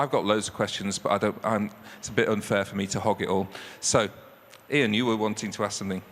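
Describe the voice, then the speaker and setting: A man's voice: fairly low pitch, fairly bright tone. A man hosting a church event interviews a guest, stumbling and restarting his sentences. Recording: echoey hall with faint ambience.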